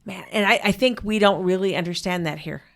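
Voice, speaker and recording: A woman's voice: medium pitch, a little fast, vocal fry, fairly bright tone. A woman interviewer in a conversational interview, chiming in agreeably with short affirmations.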